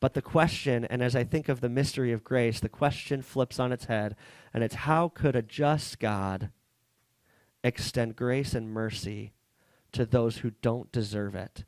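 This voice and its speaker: A man in his thirties preaching a sermon at a small coffee-shop church, earnest and halting, with frequent restarts, speaking into a headset mic. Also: medium pitch, no echo, rough recording. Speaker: pastor.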